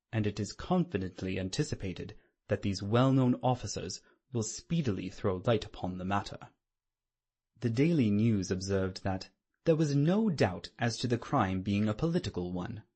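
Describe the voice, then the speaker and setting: Husky low-voiced male audiobook narrator. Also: darkish tone. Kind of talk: scripted dramatic narration of a classic novel.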